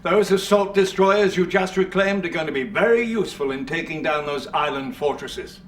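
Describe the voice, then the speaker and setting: An actor with a British accent playing a shrewd, formal Allied field marshal in a video game cutscene. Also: male voice, on the high side, close to an everyday voice. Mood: brisk, composed. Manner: formal, clipped military briskness. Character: shrewd, stiff-upper-lip male Allied field marshal. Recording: slightly distant, faint background noise.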